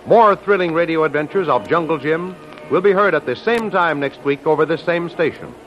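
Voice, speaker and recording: A man's voice: a little high, brisk. A man announcing in a 1930s radio style, reading scripted narration with a dramatic promotional delivery. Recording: muffled old radio broadcast. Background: faint music.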